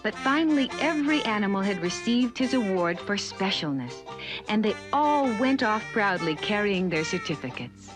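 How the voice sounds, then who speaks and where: A woman narrating a scripted children's story in an expressive, chatty way, with asides to the listener. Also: mid-range voice, normal speed, over music.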